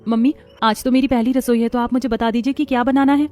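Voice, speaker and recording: A woman's voice: a little high, fairly even intonation, quick pace, mellow, lightly acted. A woman voicing an anxious, fretful young newlywed bride in a Hindi cartoon story. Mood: apologetic, nervous. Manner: fretful, apologetic.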